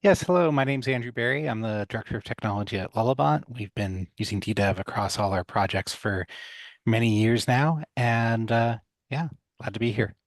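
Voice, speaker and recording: Brisk, wry man on a dry call. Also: mid-range voice, no room sound. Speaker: director of technology. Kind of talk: casual video call introduction. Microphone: video call.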